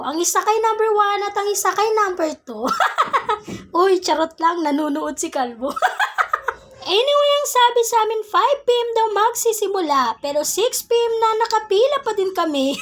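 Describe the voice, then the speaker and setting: A young woman with a Filipino accent narrating a casual vlog in a playful, giggly way, mixing in English phrases. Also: very high-pitched. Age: young adult. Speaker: vlogger.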